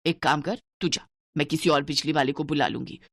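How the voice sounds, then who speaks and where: A woman's voice: sits low, steady tone, a little fast, vocal fry, no room sound, clean studio sound, voice pushed down. A woman voicing a stingy, scolding older mother-in-law in a cartoon story. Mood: scheming. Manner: bossy, penny-pinching, sharp-tongued.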